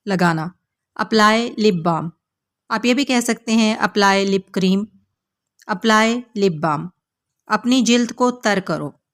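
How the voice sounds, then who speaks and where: A woman teaching phrases, saying each one slowly and repeating it before explaining it in Hindi-Urdu. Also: medium pitch. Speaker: English teacher. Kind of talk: slow teaching drills.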